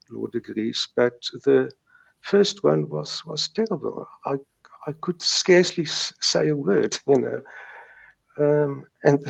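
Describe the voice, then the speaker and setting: A man's voice: medium pitch, vocal fry, a little mellow, poor audio. A middle-aged man with a South African Afrikaans accent, a native Afrikaans speaker, giving a personal account in an interview, stuttering and repeating words and sounds. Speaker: guest recounting recovery from stuttering.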